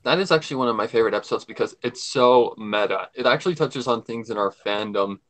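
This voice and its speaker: A man's voice: mid-range voice, fast talker, a bit bright. A man with a mid-range voice co-hosts a casual livestream. He speaks like an opinionated fan, stressing his points and often saying them again.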